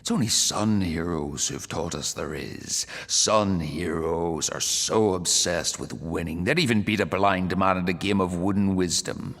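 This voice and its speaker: An actor playing a grave, bitter warrior in an audio drama. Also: male voice, sits low, unhurried, gravelly, hardly any pauses, fairly bright tone, long reverb tail, full character voice. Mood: grave, scornful.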